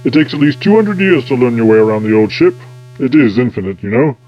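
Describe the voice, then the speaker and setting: A man's voice: mid-range voice, expressive, fast, lo-fi sound, natural voice. A man playing an eccentric, self-important time-travelling scientist in an audio drama, pompous and absent-minded. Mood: dry, superior.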